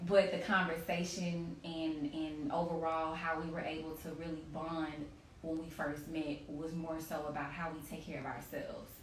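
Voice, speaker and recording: Low-voiced woman in a roomy, distant recording. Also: little pitch movement, normal speed. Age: late 30s. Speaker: interview guest, company CEO. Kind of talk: casual conversational interview. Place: remote live video chat in a room. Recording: roomy, distant mic, faint noise.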